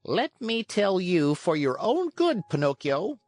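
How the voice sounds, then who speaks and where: A narrator plays an old male talking cricket from a storybook, tiny and pitched high. He moralizes with calm patience, his pitch rising and falling in a lively way. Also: a little slow, no echo, soft background music. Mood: calm, preachy. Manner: calm, preachy.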